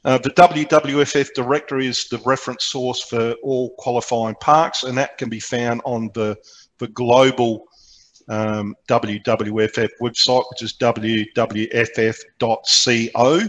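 A man's voice: mid-range voice, flat delivery, unhurried, slight background noise. An Australian man giving an informal, explanatory slide presentation over a video call, with frequent hesitations as he goes. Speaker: presenter, amateur radio programme coordinator. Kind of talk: slide presentation to a club meeting. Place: remote video meeting, speaking from indoors.

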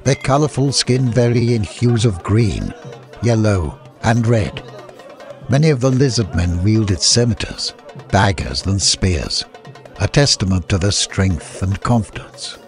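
A man reading a scripted adventure story as an audiobook narrator. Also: on the low side.

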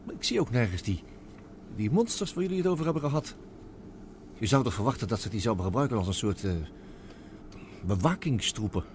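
A man plays a proud submarine inventor in an old radio serial, terse and sure of himself. His delivery swings lively in pitch, over a noisy recording with a rumble behind it. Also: brisk, long pauses, close to an everyday voice. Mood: calm, confident. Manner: terse, commanding. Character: confident male submarine inventor, brisk and proud. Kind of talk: Dutch radio drama acting.

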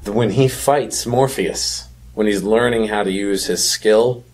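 Man, low pitch, husky voice, casual film chat, chatty, enthusiastic podcast co-host. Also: slow pace, a little mellow. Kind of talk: casual chat about films.

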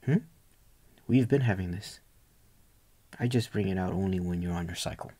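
A young man playing a tender, doting boyfriend in a soft ASMR roleplay, gentle, coaxing and reassuring, with a breathy voice often near a whisper. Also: sits low, normal speed. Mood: tender, soothing.

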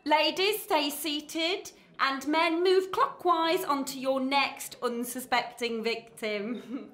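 Actress doing bubbly bossy speed dating hostess, high voice, slow showy announcing, echoey room, radio play. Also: female voice, a bit bright. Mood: perky, teasing. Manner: showy, playful announcing with theatrical pauses. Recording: roomy, echoing sound.

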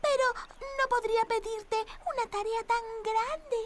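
A woman voicing a sweet young girl character in a children's cartoon dub, with an expressive delivery. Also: extremely high pitch, a little slow. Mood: worried, polite. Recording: loud music behind the voice.

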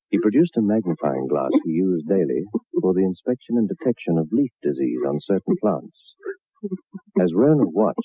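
Deep, husky, sardonic horror storyteller. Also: male voice, dry recording, heavily acted. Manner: measured, suspenseful, drily sardonic. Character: sardonic male storyteller of macabre tales. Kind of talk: scripted dramatic horror narration, 1960s radio. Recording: narrow-band vintage 1960s radio recording.

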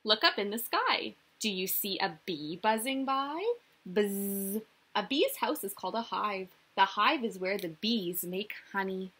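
A young woman reading a picture book aloud to small children like a teacher, expressive and sing-song, often putting questions to them. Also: medium pitch, unhurried, slightly rough. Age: young adult. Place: small indoor room.

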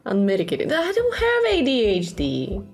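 A woman's voice: on the high side, wildly swooping pitch, normal speed, soft background music. A young woman livestreaming a slot game, chatting casually in short repeated phrases and offhand asides. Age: young adult. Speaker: slot streamer.

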